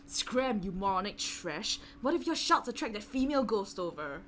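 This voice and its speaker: A woman's voice: medium pitch, sing-song, moderate pace, fairly bright tone, a little hiss or hum. A woman voicing a rude, arrogant young man in a curt, scornful manner for an amateur audio drama.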